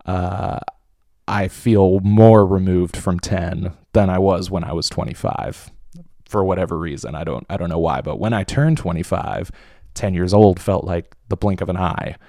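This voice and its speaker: A man's voice: sits low, normal speed, warm tone, no echo, clean studio sound. A man in his late twenties hosting a casual solo podcast, rambling with lots of fillers and restarts, jittery from coffee. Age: late 20s. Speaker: podcast host.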